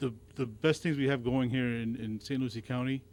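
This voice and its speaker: Earnest, halting man on a talk show. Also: mid-range voice, fairly even intonation, darkish tone. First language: not Arabic. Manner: halting, with many restarts and repeated words. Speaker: radio show guest. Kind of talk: casual radio conversation.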